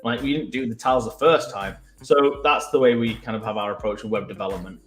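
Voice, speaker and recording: A man with a Mancunian accent, co-hosting a casual business podcast and explaining web development in a conversational, halting way. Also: medium pitch, echoey room, soft background music. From Manchester.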